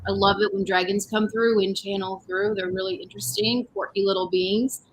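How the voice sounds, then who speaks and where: A woman on a video call, rambling through her answers with plenty of ums and restarts, her voice set at a middling pitch. A faint hiss of background noise sits under the line. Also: steady tone, fairly quick, poor audio. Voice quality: voice says it has grown deeper. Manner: rambling with many fillers and restarts. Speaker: panel guest, energy healer. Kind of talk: casual panel chat.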